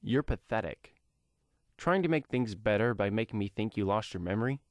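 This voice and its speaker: A man's voice: on the low side, animated, moderate pace, no room sound, close to an everyday voice. A man voicing a superhero's mocking, irritated brother in an audio comic story. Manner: mocking, exasperated.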